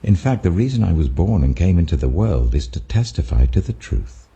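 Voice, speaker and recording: A British man voicing a calm, authoritative holy teacher, deliberate and earnest in a husky scripture reading. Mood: solemn. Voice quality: deep, husky.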